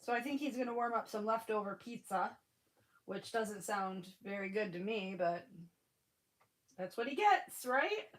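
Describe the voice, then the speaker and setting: A woman's voice: medium pitch, expressive, measured pace, rough recording. A woman in her forties with a Michigan accent and a stuffy nose, chatting casually on a live stream and greeting viewers by name. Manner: frequent fillers and restarts. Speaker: livestream crafting host.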